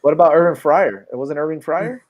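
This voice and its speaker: A man's voice: fairly high pitch, phone-quality sound, a crowd in the background. A man in his late thirties co-hosting a casual late-night sports chat, joking and teasing the others and often cutting in. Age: 30s. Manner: joking, teasing, quick to interrupt. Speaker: podcast co-host.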